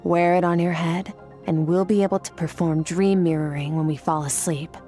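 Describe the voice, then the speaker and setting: A cool, detached woman mentor, voiced by an actress with a lowish voice, keeping every word curt and businesslike. She explains things calmly and without warmth, over faint game music. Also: moderate pace, natural voice. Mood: explaining. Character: aloof, cool-headed woman mentor from the Abyss. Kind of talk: video game voice acting.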